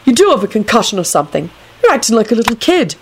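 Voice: high voice, very animated, fairly quick, a little hiss or hum, voice pushed up. A reader voicing an exasperated, sarcastic young male wizard in a storybook, scolding and emphatic. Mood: stern, demanding.

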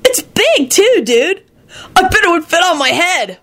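Woman doing a high, lively stoner kid, dopey, drawling, dude-heavy. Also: measured pace, full character voice. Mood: amazed. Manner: drawling, says dude and man constantly. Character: stoned dim young male muggle slacker. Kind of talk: fan fiction reading.

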